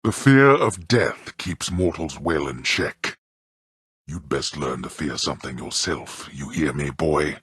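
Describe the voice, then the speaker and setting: Immensely deep, imperious underworld god. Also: male voice, animated, slow pace, fairly bright tone, breathy edge, no echo, clean studio sound, heavily acted. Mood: menacing anger. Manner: haughty, scornful, archaic formal diction. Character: stern, contemptuous god of the dead, imperious father. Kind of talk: video game character voice acting.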